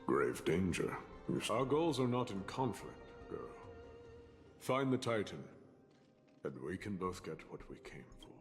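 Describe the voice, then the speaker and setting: An actor playing a menacing, scornful ancient warrior in a video game, gravelly and brooding, with archaic formal phrasing. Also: male voice, low voice, very animated, measured pace, long pauses, heavily acted. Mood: sly, mocking. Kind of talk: dramatic video game voice acting. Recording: long reverb, music underneath.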